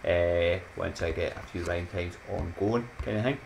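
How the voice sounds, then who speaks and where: A man's voice: deep voice, moderate pace, creaky, a little hiss or hum. A Scottish man in his thirties chatting casually to his viewers in a rambling, upbeat way, laughing at his own jokes and dropping motivational sayings. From Scotland. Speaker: YouTuber. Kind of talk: casual chatty vlog outro. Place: small dim room at home.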